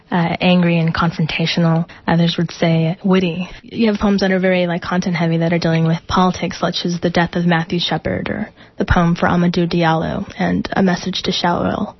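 Low-voiced woman radio interviewer. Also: flat delivery, dry recording. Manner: measured, list-like questioning. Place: radio studio. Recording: muffled dry radio studio sound.

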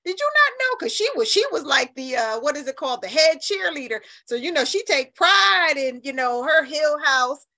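A woman's voice: high-pitched, sing-song, normal speed, hardly any pauses, sharp tone. A middle-aged American woman from New Haven talking in a chatty, colloquial, emphatic way as an interview guest, telling stories. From New Haven, United States. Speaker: radio interview guest, nonprofit leader. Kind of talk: animated conversational interview.

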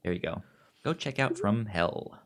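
A man with a lowish voice co-hosts a podcast in a casual, conversational way. He speaks haltingly, often doubling up on words and restarting phrases as he gives his recommendations. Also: lively intonation. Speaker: podcast co-host.

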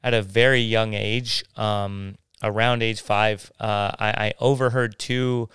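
A man with a fairly low voice and a crisp, very clear sound talks through his life story. He speaks haltingly, with many restarts and fillers, in a relaxed conversational way. The recording is close and dry. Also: no room sound. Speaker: guest sharing his faith story. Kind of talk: casual conversational interview.

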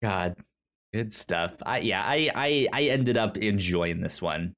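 A man co-hosts a casual film review podcast. His pitch moves around a great deal as he talks. He speaks haltingly, often stumbling over and repeating his words. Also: lively intonation, a bit bright. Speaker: podcast co-host.

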